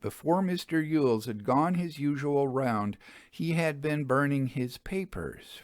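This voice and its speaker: A man reading an old-fashioned first-person ghost story as scripted narration, in a measured, expressive storyteller's manner. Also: medium pitch, no room sound, studio quality. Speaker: audiobook reader.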